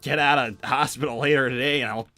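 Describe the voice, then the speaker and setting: Man playing insecure young cartoon hedgehog, bright forceful voice, dry close recording, faint music, hesitant, self-deprecating. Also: medium pitch, fairly even intonation, fast, dry recording, close to an everyday voice. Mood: hesitant, tentative. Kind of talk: improvised in-character livestream roleplay.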